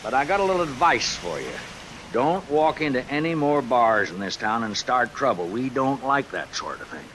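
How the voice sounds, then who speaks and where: A folksy, paternal older small-town lawman in vintage radio drama style. He talks down in a wry, fatherly way at a medium pitch. The old recording hisses faintly under rain. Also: sing-song, normal speed, a bit bright, low-quality recording. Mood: lecturing, wry. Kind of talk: 1950s radio drama acting.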